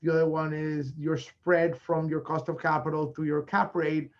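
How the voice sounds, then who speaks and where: A native Spanish-speaking man with a Colombian accent gives a business presentation. His voice sits fairly high, and he talks in halting bursts full of fillers and restarts. The recording sounds dull and lo-fi. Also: little pitch movement. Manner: frequent fillers and self-corrections. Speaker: real estate investor presenting. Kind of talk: conference presentation.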